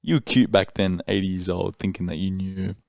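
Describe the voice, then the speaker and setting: A podcast host in his thirties talks bluntly and with emphasis, swearing as he goes. His voice sits low and the recording is dry and close. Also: fairly even intonation, fairly quick, creaky, a little mellow, no echo. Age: 30s. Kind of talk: candid conversation.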